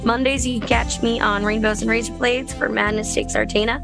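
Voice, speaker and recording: A somewhat high-voiced woman speaks in an even, flat tone, quick and matter-of-fact as she introduces herself. Music plays clearly behind her. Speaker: player.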